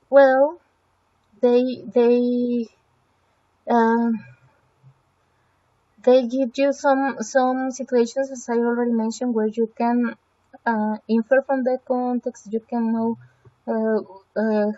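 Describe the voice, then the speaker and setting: Woman, Spanish first language, mellow tone, hesitant with fillers, dull lo-fi recording. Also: a little high. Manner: hesitant, with frequent fillers and self-corrections. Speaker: student presenting a website evaluation. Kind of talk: semi-scripted spoken evaluation.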